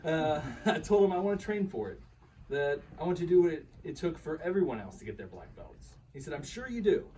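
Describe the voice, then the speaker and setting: Man acting animated, higher-voiced karate student, distantly miked. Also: fairly quick. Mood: amused disbelief. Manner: animated, chuckling, quotes his teacher's dialogue. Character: bemused earnest karate student recounting his trek to his partner. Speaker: reader in a staged radio play. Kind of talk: live radio play reading, conversational storytelling. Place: live reading at a bookshop event. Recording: distant microphone with faint room noise.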